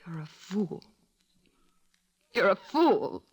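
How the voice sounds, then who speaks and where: A woman's voice: mid-range voice, sing-song, extremely slow, slightly rough, exaggerated voice. A woman playing an earnest, virtuous young missionary in an old-time radio drama, intense and solemn. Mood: anguished.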